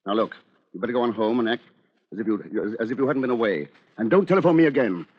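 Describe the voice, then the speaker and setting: An actor playing a cold, conniving attorney with a formal, pompous, calculating manner in an old-time radio drama. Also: male voice, mid-range voice, lively intonation, quick pace, close to an everyday voice. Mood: guarded. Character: cold, conniving male attorney, cleverly manipulative. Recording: muffled old radio recording, music behind.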